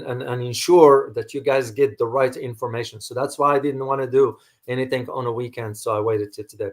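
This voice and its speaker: A man hosting unscripted geopolitical commentary for an online audience, halting and repetitive as he searches for words, with emphatic rhetorical questions. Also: mid-range voice. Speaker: host, geopolitical analyst.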